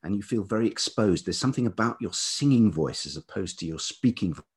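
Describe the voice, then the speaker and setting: A man's voice: on the low side, lively intonation, fairly quick, slightly rough. A middle-aged English man with a velvety voice, talking reflectively and hesitantly as an interview guest, searching for his words. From England. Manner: hesitant, thoughtful, repeats words while searching. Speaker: podcast guest, writer and musician.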